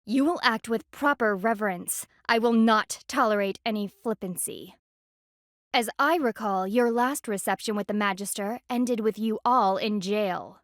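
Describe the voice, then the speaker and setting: A woman's voice: medium pitch, a little slow, no room sound, studio quality, close to an everyday voice. An actress voicing a stern, haughty female panda palace enforcer in a video game, formal, commanding and disdainful. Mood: curt, imperious.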